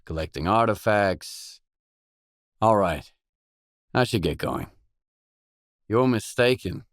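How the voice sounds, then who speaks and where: An actor voicing a gruff, guarded lone stalker for hire in a video game, speaking in terse, dry, self-assured lines. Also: male voice, sits low, no room sound.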